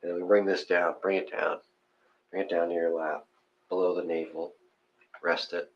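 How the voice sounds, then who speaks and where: Real low voice guy leading a meditation, casual and encouraging, kinda muffled lo-fi sound. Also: level tone, normal speed. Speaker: meditation and mudra instructor. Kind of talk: casual guided meditation instruction.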